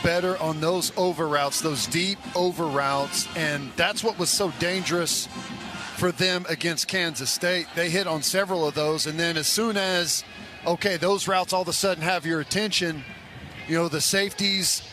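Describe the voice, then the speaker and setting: American man as football color commentator, slightly high-pitched, informal and explanatory, talking plainly through the tactics. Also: moderate pace, music in the background. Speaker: radio football color analyst. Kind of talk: informal live sports analysis.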